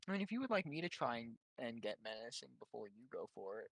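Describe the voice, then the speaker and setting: A man's voice: mid-range voice, big pitch swings, fast, a bit bright, dry recording. A man chatting casually as a player in a tabletop game. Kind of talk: casual chat.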